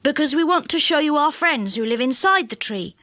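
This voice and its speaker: A woman's voice: high-pitched, expressive, a little fast, sharp tone, no echo, studio quality, pitched above the performer's own voice. A woman voicing a sensible, confident boy who guides his friends in a children's storybook. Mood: knowing, warning.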